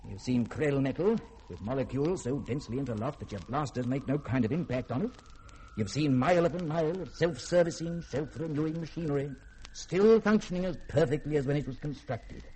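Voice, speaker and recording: An actor playing a proud, learned middle-aged scientist, lecturing precisely and grandly in a 1950s radio drama. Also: male voice, medium pitch, lively intonation, brisk, mellow, lightly acted, low-quality recording. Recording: old radio broadcast, faint hiss.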